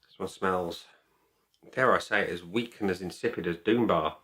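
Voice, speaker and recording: A man's voice: sits low, normal speed, pushed and bright. A man talking casually to camera as a beer reviewer, chatty, opinionated and emphatic. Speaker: beer reviewer and video host.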